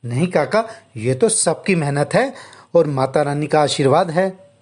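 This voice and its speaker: A man's voice: fairly high pitch, lively intonation, unhurried, a little mellow. A man voicing a humble, devout, hardworking married villager in a story. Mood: modest. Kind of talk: story dialogue.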